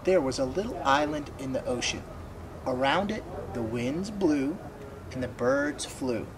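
A man's voice: medium pitch, expressive, unhurried. A young man reading a children's storybook aloud expressively, with asides and questions to young listeners. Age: young adult. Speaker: storybook reader. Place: outdoors by a wooden fence. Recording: clearly noisy outdoor ambience.

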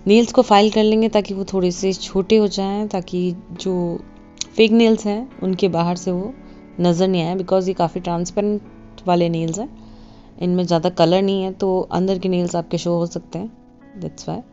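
Woman, casual beauty vlogger voice-over, low and mellow, relaxed delivery. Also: moderate pace. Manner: relaxed, mixes English phrases into speech. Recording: dull lo-fi voice-over with faint music.

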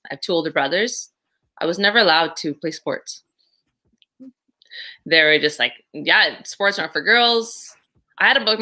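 A young woman from Canada answers interview questions over a video call. Her voice sits low yet rings bright and forward, and she talks in a chatty, easy way, laughing now and then. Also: poor audio. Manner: chatty, laughs between phrases. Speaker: guest, pro boxer. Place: small room indoors. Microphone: video call, laptop mic.

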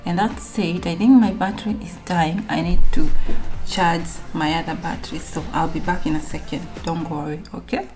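A woman's voice: on the low side, fairly even intonation, moderate pace, over music. A young woman from Kenya talking alone to her camera at home in a reflective, rambling sit-down vlog, full of fillers and restarts. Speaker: vlogger.

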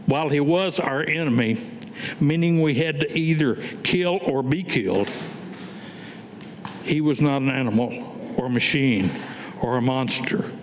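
An older man reads a war poem in a mid-range voice. The podium microphone catches the long ring of the room and some clear noise behind him. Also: mid-range voice, a little slow, in a large hall. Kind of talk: staged reading of a war poem. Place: indoor meeting room, at a podium. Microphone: gooseneck podium microphone.

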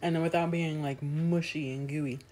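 A woman in her thirties talks into a phone held at arm's length in a small room at home. She tastes and describes pastries in a chatty, easygoing way, pausing to chew between remarks. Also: low-pitched, fairly even intonation, darkish tone, slight background noise. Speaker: food vlogger. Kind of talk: casual food review vlog.

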